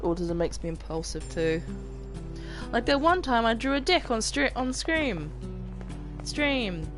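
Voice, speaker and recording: A game streamer chatting casually while playing, with a mumbling, mostly monotone delivery. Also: mid-range voice, unhurried. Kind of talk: casual chat while gaming. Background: game music.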